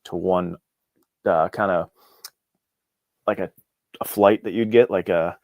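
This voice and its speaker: A man's voice: sits low, fairly even intonation, takes it slowly, darkish tone, no echo. A man talking casually and informally as an interview guest, with an enthusiastic manner. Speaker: guest, indie author.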